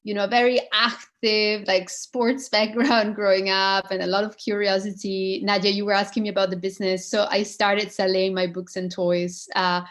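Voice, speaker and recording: A woman's voice: medium pitch, flat delivery, moderate pace, few pauses, a bit bright. Female panel guest, thirties, mid-range voice, casual personal storytelling on an online call. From Switzerland. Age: 30s. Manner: informal, frequent "like", chuckles mid-sentence. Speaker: guest, impact investor and founder. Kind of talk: informal personal account in an interview.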